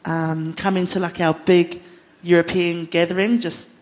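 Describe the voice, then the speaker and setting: A woman's voice: fairly low pitch, flat delivery, moderate pace, darkish tone. A woman with a New Zealand accent speaking off the cuff as a worship leader, earnest and conversational with playful asides. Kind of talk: unscripted panel conversation about faith. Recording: echoey hall with long reverb.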